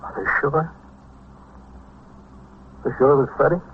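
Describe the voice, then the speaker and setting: A man's voice: a little high, measured pace. An actor with an American accent playing a middle-aged patrol sergeant and father in a vintage radio drama. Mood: stunned, grieving. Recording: tinny, noisy vintage radio recording.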